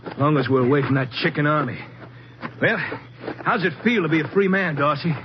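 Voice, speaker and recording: A man's voice: on the high side, brisk, close to an everyday voice. A man playing a cocky, bullying army deserter in an old-time radio drama, talking in slangy goading bursts. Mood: cocky, urgent. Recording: muffled, noisy old radio recording.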